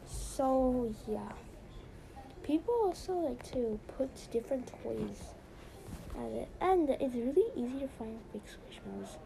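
High-voiced young woman hosting a podcast. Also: expressive, a little slow, creaky, dark tone, poor audio. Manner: chatty, rambling, lots of "so yeah". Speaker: podcast host. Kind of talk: casual rambling podcast.

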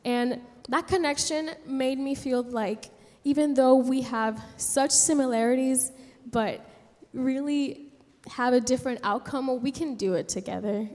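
A young woman from San Juan tells a personal story to a gathering, haltingly, pausing mid-sentence. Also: fairly high pitch, steady tone, darkish tone. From San Juan, Puerto Rico. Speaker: speaker giving a personal testimony. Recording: long hall reverb.

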